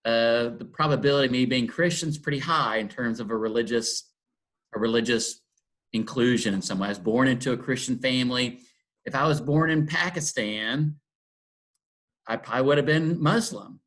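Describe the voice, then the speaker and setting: A middle-aged man from Texas speaks in a thoughtful, unhurried way. He pauses with ums and circles back on his phrases as he works through an idea. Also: medium pitch, fairly bright tone. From Texas, USA. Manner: thoughtful, many ums and restarts. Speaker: interfaith dialogue guest. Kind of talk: reflective conversation.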